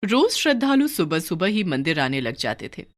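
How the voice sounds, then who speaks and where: A woman's voice: on the low side, sing-song, moderate pace. A woman narrating a scripted moral story for a cartoon.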